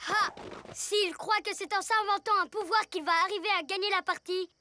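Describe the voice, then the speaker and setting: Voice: very high-pitched, steady tone, normal speed, background noise. A performer voicing a cocky, taunting young boy ninja villain in a French cartoon dub. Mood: scheming, bossy. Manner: taunting, theatrical, commanding. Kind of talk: French animated cartoon dubbing. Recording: background music over the voice.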